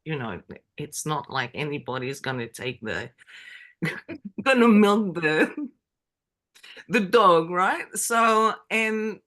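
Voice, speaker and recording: French woman in her middle years, slow easy storytelling, lots of ums and giggles, bit low pitched. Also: expressive, a bit bright. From France. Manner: chatty, many fillers and laughs. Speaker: interview guest. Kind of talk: casual storytelling.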